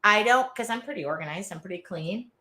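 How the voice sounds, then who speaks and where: A woman with a nasal Midwestern accent hosting an art journaling live stream from her art studio, talking in a loud, chatty, self-deprecating way. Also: mid-range voice, lively intonation, brisk. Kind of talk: casual live-stream chat.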